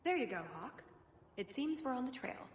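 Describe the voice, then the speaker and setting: A woman's voice: sits high, very animated, moderate pace, roomy sound, in a large hall, a little hiss or hum. A woman playing a knowing, playful huntress and guide in a video game.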